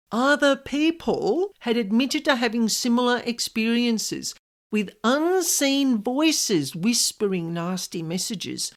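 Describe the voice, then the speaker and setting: A woman hosting a podcast, telling personal stories and giving advice in an informal, emphatic monologue, repeating key words for stress. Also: medium pitch. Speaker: podcast host, paranormal specialist.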